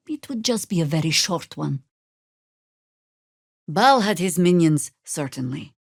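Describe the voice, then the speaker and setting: A woman playing a wry, battle-worn middle-aged druid in a video game, with sardonic, world-weary, deliberate phrasing. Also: fairly low pitch, no room sound, clean studio sound. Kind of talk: video game character acting.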